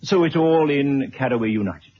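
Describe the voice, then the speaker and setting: A man playing an older, retired gentleman in a radio drama, polite, precise and gently fussy, with a husky voice. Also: mid-range voice, expressive, moderate pace, close to an everyday voice. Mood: earnest, gentle. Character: courteous, fussy elderly retired man writing a memoir. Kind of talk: 1970s radio drama acting. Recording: dull vintage radio recording.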